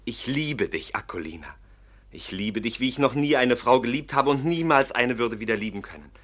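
Male actor as romantic young aristocrat, earnest, animated, forceful bright tone, defiant, radio drama. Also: medium pitch, moderate pace, natural voice. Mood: tender, fervent. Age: young adult. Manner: earnest, fervent. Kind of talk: German radio play acting.

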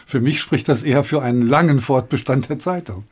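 A man's voice: medium pitch, fairly quick, darkish tone, slightly rough. A man hosting a radio discussion, asking questions and introducing clips. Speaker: interview host.

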